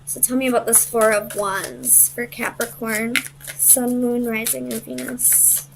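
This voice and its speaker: A woman's voice: on the high side, steady tone, unhurried, vocal fry, noisy background. A woman giving a casual, conversational tarot reading, hesitant as she thinks aloud and searches for her words. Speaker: tarot reader.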